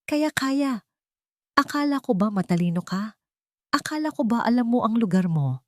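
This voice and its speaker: A woman's voice: a little high, very animated, normal speed, no echo, studio quality, pitched above the performer's own voice. Woman playing a taunting brutal guard. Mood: mocking. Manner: mocking, sneering taunts.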